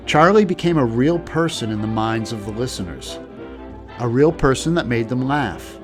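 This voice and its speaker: Man narrating a documentary over music. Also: medium pitch. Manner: even, informative storytelling. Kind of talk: scripted narration.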